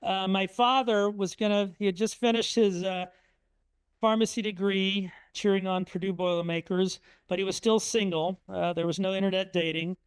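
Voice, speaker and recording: High, crisp-voiced man telling family stories. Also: steady tone, normal speed, no echo. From Indiana, USA. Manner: conversational, anecdotal, frequent um and uh. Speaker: author speaking at a museum talk. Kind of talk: storytelling presentation with slides.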